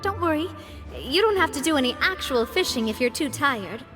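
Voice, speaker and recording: A young female leader in a video game. Her voice is high, with a cheerful, kindly and teasing manner. Soft music sits faintly behind her. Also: animated, moderate pace. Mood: warm invitation. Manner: friendly, teasing, upbeat.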